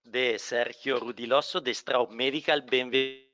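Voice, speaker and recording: A man whose first language is Italian hosts a medical webinar in welcoming, slightly halting Spanish on a video call. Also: mid-range voice, steady tone, pushed and bright, dull audio, faint music behind. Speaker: webinar host for a medical company.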